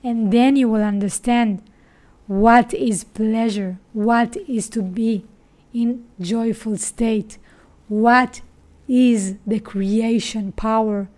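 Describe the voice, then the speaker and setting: High-voiced Israeli woman, slow and earnest. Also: steady tone, darkish tone. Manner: earnest, spiritual, emphatic. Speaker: sex coach and podcast host. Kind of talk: personal monologue.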